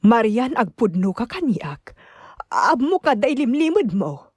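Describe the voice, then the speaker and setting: A woman's voice: fairly high pitch, very animated, unhurried, husky, no room sound, heavily acted. An actress with an Ilocano accent playing a protective, worried mother, hurt and indignant, in a radio drama. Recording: dry studio with background music.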